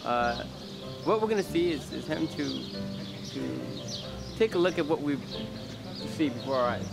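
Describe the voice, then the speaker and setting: A man, an actor, giving a casual on-camera interview in a halting, rambling way, as if thinking aloud. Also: on the high side, expressive, over loud music. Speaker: actor in a behind-the-scenes interview.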